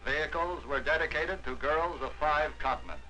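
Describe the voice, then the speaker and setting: A man narrating a 1940s war documentary with a fairly high voice and a measured, declamatory newsreel delivery. A full film score plays clearly beneath him. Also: over music, poor audio.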